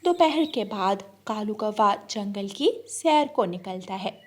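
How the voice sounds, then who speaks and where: A woman's voice: mid-range voice, animated, slow pace, a little mellow. A woman narrating a scripted children's story.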